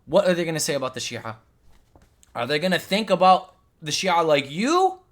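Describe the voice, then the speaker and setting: A man's voice: on the high side, big pitch swings, normal speed. Heated, argumentative man. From Lebanon. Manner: argumentative, emphatic, repeats points, rising to near-shouts. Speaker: commentator reacting to clips. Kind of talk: heated reaction commentary.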